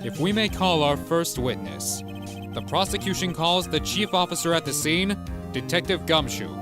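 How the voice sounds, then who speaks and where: A confident, composed prosecutor, played by a man in a courtroom game fan dub. He is formal and clipped, with a fairly high voice and wide intonation. Music plays clearly behind him. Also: expressive, moderate pace, close to an everyday voice.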